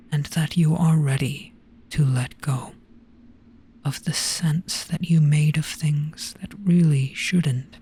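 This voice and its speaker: A performer voicing a gentle Jabberwock monster, resigned and soothing, in a soft breathy half-whisper for a hypnotic trance roleplay. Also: mid-range voice, heavily acted. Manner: slow, hushed, soothing, deliberate.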